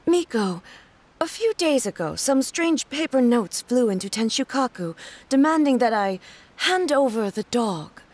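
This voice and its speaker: A woman voicing a regal, stern divine ruler in a video game, formal and measured. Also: on the high side, very animated. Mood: composed.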